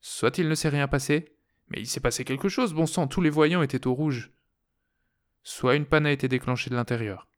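A man's voice: mid-range voice, normal speed, lightly acted. An angry female astronaut in a science fiction audiobook, voiced by a man. She is distrustful and indignant, and her way of talking is accusing and full of questions. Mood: alarmed, indignant.

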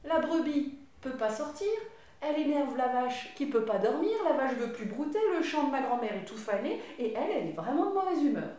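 A bright, eager young boy, as a woman storyteller puts him on, firing off questions with a raised voice. It rings in a very echoey room. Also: high voice, animated, a little fast, far from the mic. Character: lively, curious young farm boy.

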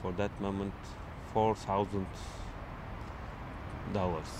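Halting man outdoors in traffic noise. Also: deep voice, flat delivery. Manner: halting, many fillers, searching for words. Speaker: interviewee. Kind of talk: casual street interview. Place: outdoors on a city street.

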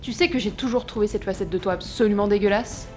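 A woman's voice: medium pitch, fast talker, a little mellow, soft background music, natural voice. A woman playing a hot-tempered gangster in an audio drama, blunt, cutting and scornful. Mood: scornful, hostile. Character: abrasive, hot-tempered female gangster, harsh and mocking.

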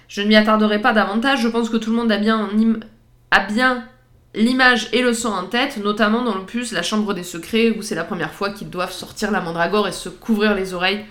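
A woman's voice: medium pitch, steady tone, a little fast, a bit bright. A woman co-hosting a podcast, chatting casually through pop-culture references with frequent fillers and easy laughs. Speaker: podcast co-host.

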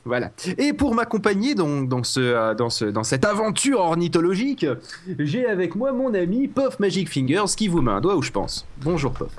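Male podcast host with a slight cold, loose and jokey, fillers everywhere, fairly high voice. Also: very animated, normal speed. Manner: rambling, jokey, many fillers and restarts. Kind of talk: casual comedic podcast chat.

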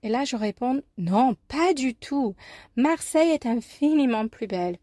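A woman with an American accent and a fairly high voice delivers a personal radio column. She tells her story in an expressive, deliberate way. The sound is dry and close. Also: no room sound. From United States, New York. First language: English. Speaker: life coach presenting a radio column. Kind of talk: scripted personal talk, storytelling.

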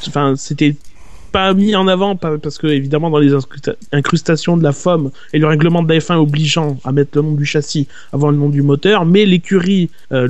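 A man co-hosting a casual motorsport podcast who explains points briskly and teases the others. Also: fairly high pitch, darkish tone, no echo, muffled recording. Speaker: podcast co-host.

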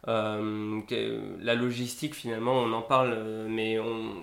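A man interviewing a guest, asking long, halting questions with false starts. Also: on the low side, little pitch movement, creaky, a bit bright. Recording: roomy echo.

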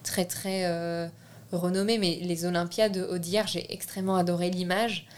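Hesitant young French woman, lowish voice. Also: flat delivery, normal speed. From France. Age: young adult. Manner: hesitant, many restarts and fillers. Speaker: filmmaker guest. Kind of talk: casual interview.

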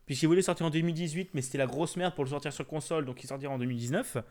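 Male, fairly high voice; jokey, slangy co-host for relaxed gaming chat. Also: rapid-fire. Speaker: co-host of a video game podcast.

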